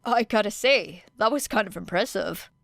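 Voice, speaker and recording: A woman reading a fan audiobook, voicing an apathetic teenage boy who speaks flatly and curtly. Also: medium pitch, normal speed, creaky, dry recording. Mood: dry. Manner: flat, dry, curt.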